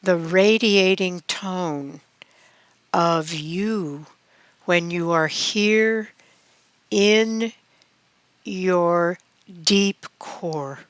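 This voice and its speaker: A woman leading a guided meditation in a calm, measured manner, with long pauses between phrases. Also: lowish voice, smooth, no echo.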